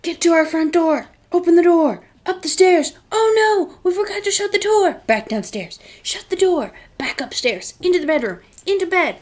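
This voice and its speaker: A woman's voice: extremely high pitch, expressive, normal speed, few pauses, darkish tone, pitched above the performer's own voice. A woman voicing a frantic storybook adventurer fleeing home, with urgent chanted exclamations.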